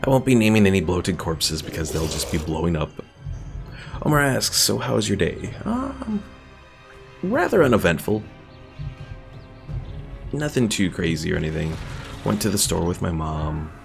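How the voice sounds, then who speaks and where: A man's voice: low-pitched, very animated, normal speed, creaky, long pauses. A man giving casual gameplay commentary as a streamer, thinking aloud as he plays. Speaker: streamer playing a strategy game. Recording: game music behind voice.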